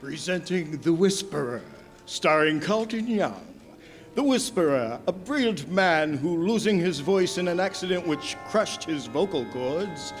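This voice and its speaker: A man introducing a drama in 1940s radio announcer style. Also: on the high side. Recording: hall reverb, music behind.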